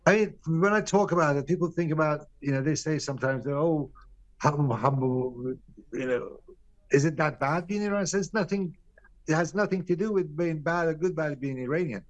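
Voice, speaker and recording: A middle-aged man from Iran, a painter being interviewed, talking candidly and bluntly, often pausing and restarting his sentences. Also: a little high, no room sound, rough recording. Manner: candid, blunt, swears casually. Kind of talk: reflective interview answers.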